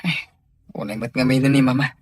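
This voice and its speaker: A man with an Ilocano accent acting a family man in a radio drama, with a slightly husky voice. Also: medium pitch, steady tone, fairly quick, no echo, close to an everyday voice. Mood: worried.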